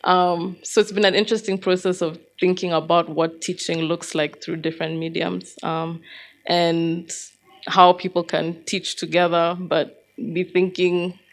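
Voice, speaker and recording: A woman's voice: lowish voice, flat delivery, a little slow. A woman casually reflecting in a group discussion, tentative and feeling out her thoughts as she goes. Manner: tentative, thinking aloud. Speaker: writer, former teacher.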